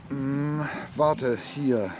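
Eine Frau spricht in einem deutschen Hörspiel einen vorsichtigen, beschützenden Jungen, zweifelnd und zögerlich. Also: female voice, rumbling low, extremely slow, noisy background, full character voice. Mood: relaxed, curious. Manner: doubtful, hesitant, grudging. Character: cautious, protective, sceptical boy, the girl's guardian. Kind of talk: German radio play acting.

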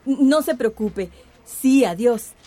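A high-voiced, scheming mayor. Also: female voice, lively intonation, takes it slowly, dark tone, slight background noise. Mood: flustered, deferential. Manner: commanding, scheming. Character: shrewd, corrupt woman mayor, cynical and bossy. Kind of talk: radio soap opera acting.

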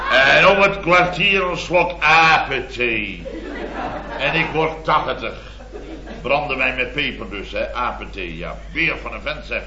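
An actor playing a flustered, blustering middle-aged boss of a building firm in an old radio comedy, rambling, stammering and repeating himself. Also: male voice, medium pitch. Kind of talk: 1970s Dutch radio comedy acting. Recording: dull, roomy dated radio recording.

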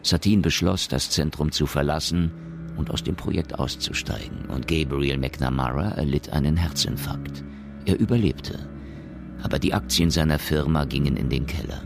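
A man narrating a science fiction radio drama in a measured, matter-of-fact way. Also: extremely low pitch, vocal fry, music in the background.

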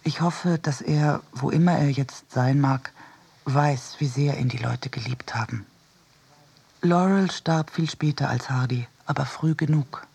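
A man reading a scripted literary essay for radio in a measured, deliberate manner. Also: medium pitch, gravelly, a little mellow, slightly rough. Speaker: reader in a radio production.

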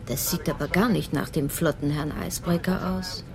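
A commissioner's wife in a radio crime drama. She has a slightly low voice and talks in a familiar, admonishing way, caring but bossy. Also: steady tone, moderate pace. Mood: attentive. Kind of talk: German radio drama dialogue.

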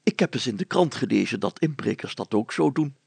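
A man puts on a blustery older village mayor from a children's storybook. The voice is very gravelly and husky, self-satisfied and chatty, recorded close and dry. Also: mid-range voice, expressive, a little fast, darkish tone, no echo. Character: pompous, gullible, greedy older male village mayor.

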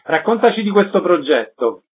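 Male radio host, fairly high voice, presenting and questioning, with thin band-limited audio. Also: big pitch swings, normal speed, breathy edge. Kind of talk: radio arts interview, announcing and questioning. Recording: narrow phone-like band.

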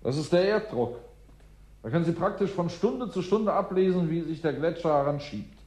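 An actor in a German radio drama playing a stubborn, surly scientist with a brusque, dismissive manner. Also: male voice, fairly high pitch, animated, normal speed, darkish tone, husky. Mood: matter-of-fact. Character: surly, stubborn male scientist scorning bureaucracy.

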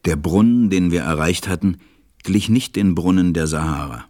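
A man acting a reflective, tender pilot who narrates a radio play in a measured, gentle way. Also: sits low, lightly acted. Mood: wondering. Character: reflective adult male pilot, tender storyteller.

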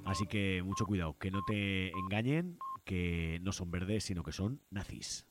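A man with an accent from Spain hosting a radio show, giving informal commentary and announcements with frequent hesitant pauses. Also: deep voice, over loud music.

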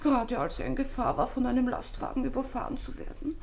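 An actress playing a chatty, excitable widowed landlady in a German radio play, confiding and easily flustered. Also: high-pitched, fast, creaky, a little hiss or hum, exaggerated voice. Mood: confiding, agitated. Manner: talkative, confiding, excitable, breaks into exclamations.

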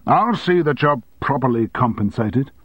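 A man playing a blustering, domineering, short-tempered male boss who shouts threats, on a dry, slightly dull recording. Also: medium pitch, lively intonation, normal speed, husky, no echo, voice pushed up. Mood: angry.

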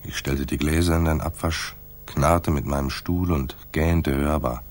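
An actor playing a world-weary, sardonic private detective who also narrates in a radio crime drama, with a dry, wry, clipped delivery. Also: male voice, extremely low pitch, steady tone, measured pace, darkish tone. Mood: brooding narration.

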